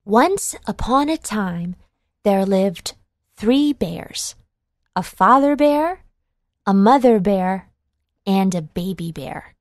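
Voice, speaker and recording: A woman narrating a children's storybook in a measured, clearly enunciated read-along delivery. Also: mid-range voice, lively intonation, dry recording, clean studio sound.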